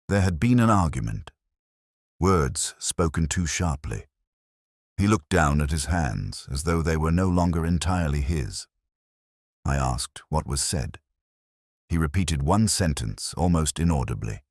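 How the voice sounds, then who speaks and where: Very deep-voiced male story narrator. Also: dry recording, clean studio sound. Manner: literary, measured, brooding. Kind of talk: scripted first-person detective story narration.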